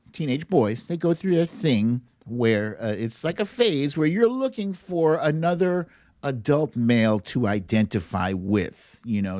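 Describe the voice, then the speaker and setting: A middle-aged man with an American accent hosts a casual show, speaking at an unhurried pace. He lands short phrases with emphasis and deliberate pauses, moving through opinion and storytelling. The sound is dry, with little room on it. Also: medium pitch, darkish tone, no room sound. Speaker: show host. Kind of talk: casual monologue, commentary and storytelling on a livestream.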